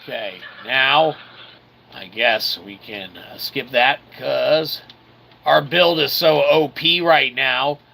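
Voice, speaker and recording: A man giving casual video game commentary in a playfully cocky manner, talking as "we", with a gravelly voice. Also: medium pitch, slow pace, bright, forceful tone, slight background noise. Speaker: gameplay host.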